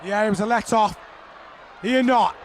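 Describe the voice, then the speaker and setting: A man giving excited play-by-play commentary on a rugby league match, often shouting over the action. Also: high voice, moderate pace. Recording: archive broadcast with crowd noise.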